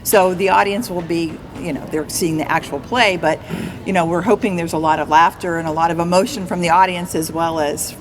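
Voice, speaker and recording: A woman's voice: on the low side, fairly even intonation, a little fast, noisy background. An American woman talking conversationally as an interview guest. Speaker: interview guest, community theatre member. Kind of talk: casual interview talk.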